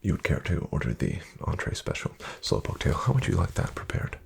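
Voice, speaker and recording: Casting: male performer as courteous casino dealer for ASMR roleplay, hushed low voice, heavy vocal fry, formal and deferential. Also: big pitch swings, slight background noise, full character voice. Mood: attentive, gracious. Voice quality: soft, hushed, breathy-edged delivery. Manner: polite, formal, measured, deferential. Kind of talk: soft-spoken ASMR roleplay. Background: card shuffling and clicking.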